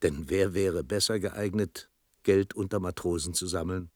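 Deep-voiced, dry-sounding reflective storyteller. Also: male voice, steady tone, no echo. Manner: measured, reflective, dramatic. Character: reflective male storyteller recalling his own tale. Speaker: narrator. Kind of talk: literary radio drama narration.

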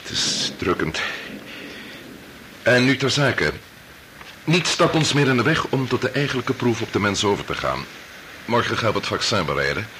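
An actor playing an authoritative older doctor and father, measured and formal, with a Dutch accent in a radio drama. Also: medium pitch, expressive, sharp tone. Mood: formal, resolute. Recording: noisy old radio drama recording.

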